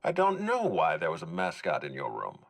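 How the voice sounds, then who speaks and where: A stiff polite game exec, real low voice, swings around a lot, dry and guarded. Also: male voice, huge pitch swings, creaky, exaggerated voice. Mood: dry, courteous. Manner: measured, courteous, formal, dryly stern. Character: formal, guarded male executive overseeing hotel and orchards. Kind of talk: dramatic video game dialogue.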